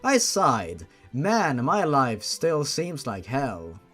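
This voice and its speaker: A man's voice: mid-range voice, huge pitch swings, drawn-out words, fairly bright tone, faint music behind. A man reading a story aloud as host, breaking off into sarcastic, foul-mouthed, exasperated asides.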